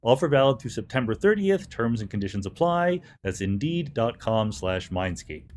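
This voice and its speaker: A man with a medium-pitched voice speaks as a podcast host. His delivery is articulate and explanatory, and there is faint noise in the recording. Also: big pitch swings, moderate pace, darkish tone. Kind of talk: podcast hosting, interview talk and ad read.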